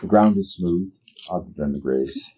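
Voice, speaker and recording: A man's voice: low voice, normal speed. A man narrating a tabletop game as game master, explaining scenes conversationally in plain detail. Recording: dull, noisy home recording.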